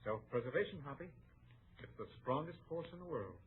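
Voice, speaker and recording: A man's voice: fairly high pitch, expressive, fairly quick, slightly rough. An actor playing a desperate, self-justifying townsman in an old American radio western, with an earnest, dramatic delivery. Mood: resigned, defensive. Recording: muffled, narrow-band old radio recording.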